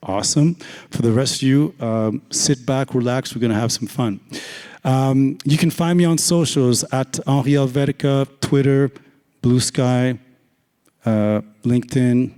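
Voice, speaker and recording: Hoarse male speaker, raspy and mellow, loose joking delivery, long hall reverb. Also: medium pitch, a little slow. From Canada. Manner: casual, joking, filler-heavy. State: hoarse, lost voice. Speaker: conference speaker. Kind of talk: conference talk. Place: large conference hall.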